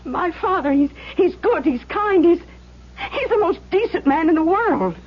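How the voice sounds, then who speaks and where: An actress playing a gentle, anxious young schoolteacher in a 1970s radio drama, halting and emotional. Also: female voice, extremely high pitch, animated. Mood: shocked, distressed. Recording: muffled old radio broadcast.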